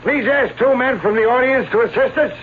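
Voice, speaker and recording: A man's voice: extremely high pitch, fairly quick, a crowd in the background. A man playing a proud, theatrical escape artist in a radio drama, addressing his audience in a florid, declamatory way. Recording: tinny, noisy old radio recording.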